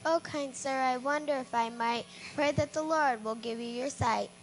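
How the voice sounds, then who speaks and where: A woman voicing a sweet, timid little girl who speaks gently and earnestly in a storybook. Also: sits high, moderate pace, slight background noise, lightly acted. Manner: gentle, earnest prayer-like speech.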